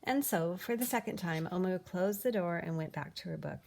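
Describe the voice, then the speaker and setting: Female picture-book narrator, slightly low register, expressive delivery with dramatic emphasis. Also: a little fast, creaky. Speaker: storybook reader. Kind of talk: expressive read-aloud of a children's picture book.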